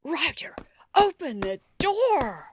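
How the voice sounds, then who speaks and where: A woman's voice: sits high, big pitch swings, slow, very noisy, full character voice. A woman playing a worried, stubborn mother of grown children in a radio play, fraught and determined. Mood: anguished, determined.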